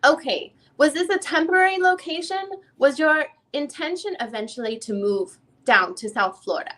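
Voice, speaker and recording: A woman reading the part of a female lawyer who questions a witness in a deposition, in halting, rephrased questions. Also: sits high, lively intonation, fairly bright tone. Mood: probing. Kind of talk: dramatic reading of a legal deposition transcript.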